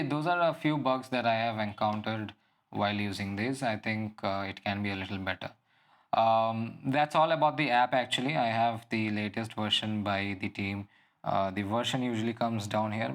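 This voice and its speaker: A man with a fairly low voice talks through a gadget as a reviewer. He speaks without a script, explaining step by step. His phrasing is halting, broken into short pieces. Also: steady tone, a bit bright. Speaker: product reviewer. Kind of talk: unscripted app and gadget walkthrough.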